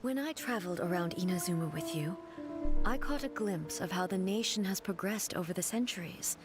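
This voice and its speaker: A woman voicing a regal, composed goddess ruler in a video game, speaking in a measured, solemn way. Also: fairly low pitch, natural voice. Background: game music.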